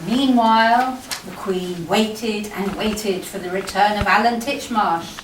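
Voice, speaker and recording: A woman narrating a pantomime as a scripted storybook tale, with dramatic, deliberate delivery. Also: mid-range voice. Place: live amateur stage in a hall. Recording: echoey hall, distant mic, clear noise.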